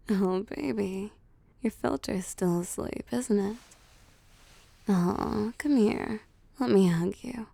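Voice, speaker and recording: Woman playing a slow, creaky-voiced seductive vampire mistress. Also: mid-range voice, no room sound. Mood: tender, teasing. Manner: soft cooing, teasing, often dropping to a whisper. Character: seductive, possessive female vampire CEO pampering her prey. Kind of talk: intimate roleplay audio, ASMR. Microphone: close, dry microphone.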